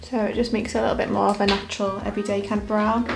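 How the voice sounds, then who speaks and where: A young woman makeup artist talking through a casual makeup tutorial in a hoarse, croaky voice, her delivery relaxed and monotone with plenty of fillers. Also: medium pitch, rapid-fire, over music, poor audio. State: croaky, hoarse voice after illness. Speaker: makeup artist and beauty vlogger.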